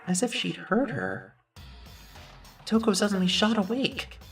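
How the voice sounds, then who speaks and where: A woman's voice: medium pitch, wildly swooping pitch, measured pace, recorded from a distance, soft background music. A woman playing an earnest, uncertain teenage boy who narrates an anime game fan dub in a thoughtful way. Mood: earnest narrating.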